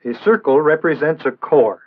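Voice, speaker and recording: Man, American accent, slightly high voice, measured didactic delivery, precise scripted narration, thin band-limited old film soundtrack. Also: lively intonation, dull audio. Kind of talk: scripted instructional narration, 1960s training film.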